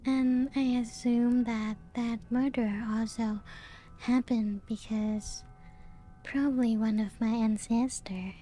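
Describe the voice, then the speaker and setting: A woman playing a playful, teasing vampire who guides guests round her ancient castle in soft-spoken ASMR roleplay, hushed and often slipping into whispers. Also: fairly high pitch, fairly even intonation, slow, a little hiss or hum. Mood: wistful, playful. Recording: music playing behind the voice. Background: background music.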